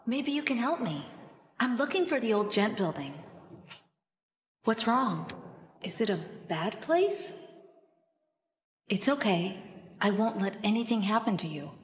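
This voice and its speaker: A woman's voice: mid-range voice, animated, a little slow, pauses often, natural voice. An actress playing an earnest, determined young woman trapped in an inky cartoon world in a video game, speaking conversationally and expressively. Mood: gentle, reassuring. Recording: echoey hall reverb.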